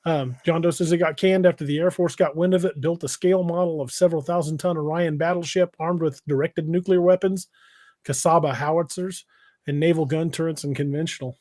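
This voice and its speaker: A man casually hosting a livestream, reading out comments and chatting in a halting, hesitant way. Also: fairly high pitch, fairly even intonation, a little mellow, dry recording. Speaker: podcast host and novelist. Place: indoors on an internet livestream video call.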